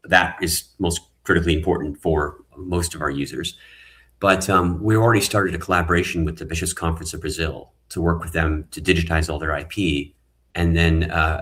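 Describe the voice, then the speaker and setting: A man's voice: deep voice, a bit bright. A man, a tech founder, earnestly explaining his ideas as a guest in an online panel talk. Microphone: video call.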